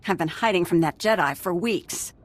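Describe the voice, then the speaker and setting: A frazzled female scientist from a video game, voiced by a woman. She has a slightly low voice and a wry, jittery way of talking, like someone who has been hiding for weeks. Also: moderate pace, close to an everyday voice. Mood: relieved, wry.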